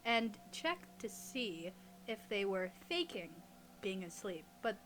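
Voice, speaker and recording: A woman's voice: medium pitch, sing-song, slow, a bit bright, slight background noise. A young adult woman co-hosting in a casual, giggly, teasing way, chatting and reading aloud. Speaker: podcast co-host and audio roleplay creator.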